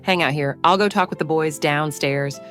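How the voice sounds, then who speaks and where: A woman playing a gruff, steady battleship captain in an audio drama, frank and firm in manner. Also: low-pitched, moderate pace, soft background music.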